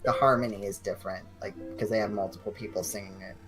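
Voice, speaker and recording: A man's voice: lowish voice, fast talker, over loud music. A young man chatting casually with friends on a game night stream, telling rambling stories full of restarts. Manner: rambling, animated storytelling with many restarts. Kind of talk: casual chat among friends.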